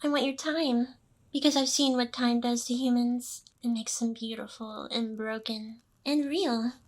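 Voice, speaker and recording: A woman voicing a smug, possessive ancient demoness boss in an intimate, seductive ASMR roleplay, with teasing pauses. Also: fairly high pitch, steady tone. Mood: intense, possessive.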